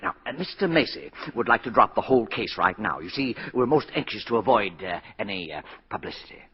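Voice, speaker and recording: Anxious yes-man; hesitant, breathy, swooping pitch, 1940s radio drama. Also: lively intonation, brisk, heavily acted. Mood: nervous, ingratiating. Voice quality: very husky, breathy. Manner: hesitant, stammering. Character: nervous, fawning male department store flunky, stammering. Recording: dull 1940s radio broadcast.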